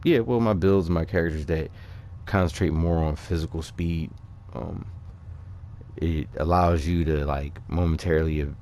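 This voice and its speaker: A man with a low voice delivers loose, unscripted gaming commentary. He thinks out loud, halting and picking up again as he explains things to his viewers. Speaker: gaming video creator. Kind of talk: casual unscripted game commentary.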